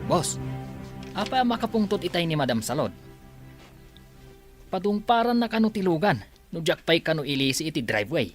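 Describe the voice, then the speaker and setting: An actor playing a deferential, inquisitive employee in a radio drama. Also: male voice, on the high side, very animated, normal speed, pauses often. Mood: curious, deferential. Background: music.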